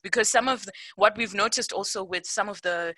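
A woman's voice: mid-range voice, brisk, bright, forceful tone, no room sound. A woman speaking on a discussion panel, thoughtful and given to rephrasing her points as she goes. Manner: thoughtful, rephrases mid-sentence.

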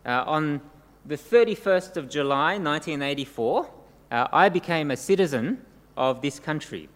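A man's voice: a little high, expressive, normal speed, a bit bright. A middle-aged man, born in Korea, preaching an earnest sermon to a congregation and often ending points with tag questions. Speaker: pastor. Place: church service before a congregation. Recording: long church-hall reverb, faint noise.

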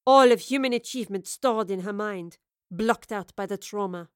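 A woman's voice: medium pitch, normal speed, smooth, no room sound, studio quality, natural voice. A woman playing a power-hungry villainess in an audio drama, contemptuous and imperious, sneering and hissing her words.

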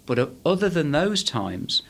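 A man's voice: on the high side, sing-song, moderate pace, gravelly, slight background noise. A man in his forties from England with a Manchester accent, a podcast guest talking through his background with many fillers and restarts. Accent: Manchester English. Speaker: guest, head of a management body. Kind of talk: podcast interview.

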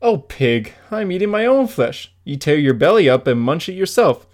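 A man voicing a cunning fox in a dark fairy tale that he reads aloud. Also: on the high side, big pitch swings, normal speed, pitched above the performer's own voice. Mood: sly. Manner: read-aloud storybook delivery.

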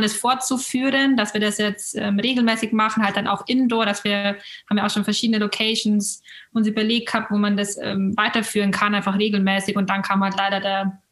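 A woman's voice: medium pitch, a bit bright. A woman co-founder of a yoga studio speaks in a level, unvaried tone, explaining her plans with plenty of fillers. Her voice carries a roomy echo. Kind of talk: casual interview talk.

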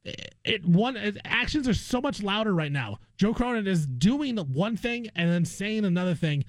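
High-pitched man arguing sports on air. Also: lively intonation, moderate pace, no room sound. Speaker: sports radio co-host. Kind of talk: opinionated sports talk debate.

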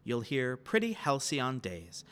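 A man speaks as the host of a podcast, delivering scripted announcements. His voice sits at an average pitch and moves up and down in an animated way. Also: measured pace.

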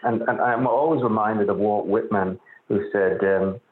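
A man's voice: fairly low pitch, steady tone, unhurried. Irish man, author guest, earnest, stumbling repetitions, echoey room sound. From Ireland. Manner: earnest, repeats words while searching for phrasing. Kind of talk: reflective interview answers. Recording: echoey tinny phone-like line.

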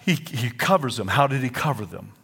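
A man with a Southern US accent preaching a sermon as pastor, lively and at times rising to near-shouts and singing. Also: mid-range voice, brisk, creaky, breathy edge.

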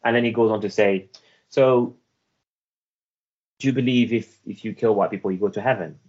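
A man from Afghanistan with a Welsh accent, a guest in an interview telling his story in an earnest, halting way with many restarts. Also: fairly low pitch, darkish tone, slightly rough, lo-fi sound. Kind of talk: reflective personal storytelling.

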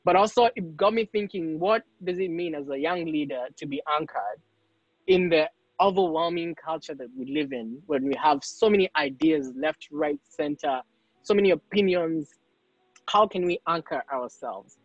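A man's voice: fairly high pitch, normal speed, no room sound. Dull-sounding Kenyan male podcast host. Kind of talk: casual podcast hosting.

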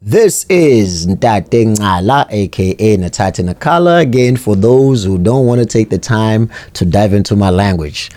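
A man from South Africa hosting a motivational radio segment, talking in a reflective, earnest and measured way. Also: fairly low pitch, lively intonation, warm tone, dry recording. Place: radio studio.

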